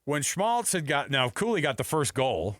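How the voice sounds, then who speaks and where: A man hosting a sports radio show, talking casually in an animated, enthusiastic, conversational way. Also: on the high side, normal speed, dry recording, studio quality.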